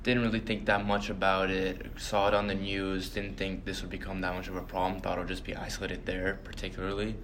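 A young man who grew up in the Bahamas, answering interview questions in a relaxed way and letting his thoughts trail off softly. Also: low voice, fairly quick, hardly any pauses, a little hiss or hum. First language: Portuguese. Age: young adult. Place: hotel meeting room.

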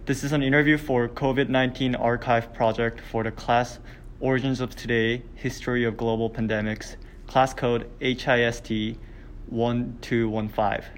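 A young man, a student, interviewing a classmate and asking his questions hesitantly, with many pauses and restarts. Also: medium pitch, little pitch movement, a little hiss or hum. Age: young adult. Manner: hesitant, full of ums and restarts. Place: hotel meeting room.

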